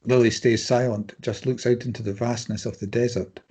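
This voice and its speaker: A man's voice: mid-range voice, flat delivery, brisk, darkish tone, breathy edge. A man reading stage directions as scripted narration for a drama table read.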